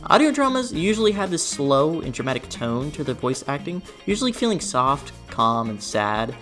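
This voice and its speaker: A person delivering a scripted, opinionated video essay in an expressive, emphatic way with conversational asides. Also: mid-range voice, normal speed. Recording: music behind the voice. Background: background music.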